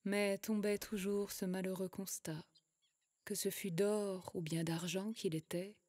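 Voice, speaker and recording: A woman narrating an animated short as a poetic storybook tale, in a solemn, lyrical and measured way. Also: medium pitch, no echo, clean studio sound. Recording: music under the voice. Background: music.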